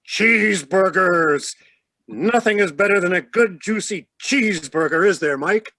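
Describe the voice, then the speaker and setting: A man announces and pitches in scripted vintage radio style. His voice is notably high, and it sounds dry with no room around it. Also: a little slow, sharp tone, no room sound.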